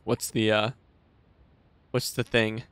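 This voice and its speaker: A young man giving casual, expressive let's play commentary with some swearing, close on a desk microphone. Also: on the low side, takes it slowly, smooth, no echo. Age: young adult. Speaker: gaming video host. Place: small indoor gaming room. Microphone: close boom-arm desk microphone. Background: game audio and music.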